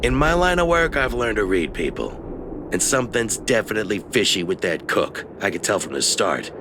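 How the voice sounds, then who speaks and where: Wildly animated shifty merchant. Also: male voice, fairly low pitch, moderate pace, background noise. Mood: defensive, insistent. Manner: theatrical, wheedling, haggling. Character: shifty, blustering merchant, proud and easily cowed. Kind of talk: video game voice acting.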